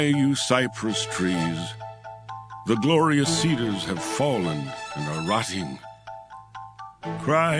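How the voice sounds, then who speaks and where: An actor playing an impassioned prophet who narrates his visions in a dramatic, emphatic scripture audio drama. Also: mid-range voice. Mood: lamenting, declamatory.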